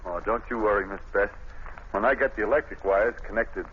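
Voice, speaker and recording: A man's voice: fairly low pitch, brisk, lightly acted. An actor playing a chatty, deferential working man, an electrician, in a folksy 1940s radio style. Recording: tinny, hissy old radio recording.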